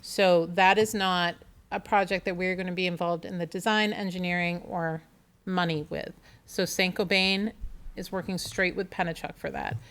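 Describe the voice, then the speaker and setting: A woman on town staff giving a brisk, informative update at a town committee meeting. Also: lowish voice, steady tone, fairly bright tone, clean tone.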